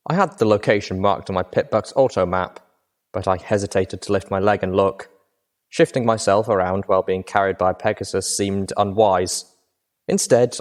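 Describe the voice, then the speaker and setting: A man narrating a fan fiction story in first person at a measured, steady pace. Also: deep voice. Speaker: audiobook reader.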